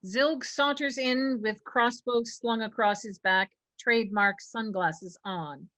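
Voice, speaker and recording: Bright, high-voiced woman narrator. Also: slow, slight background noise. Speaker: narrator reading stage directions. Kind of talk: scripted screenplay narration.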